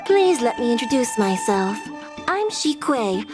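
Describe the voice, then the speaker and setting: A woman's voice: a little high, very animated, a little slow, music in the background. A woman playing a courteous, formal government secretary in a video game, speaking politely and deferentially. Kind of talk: scripted video game dialogue.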